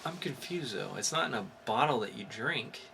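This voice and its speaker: A man's voice: medium pitch, expressive, moderate pace, creaky, pushed and bright, slight background noise. A man co-hosting a podcast in casual, informal banter. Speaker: podcast co-host.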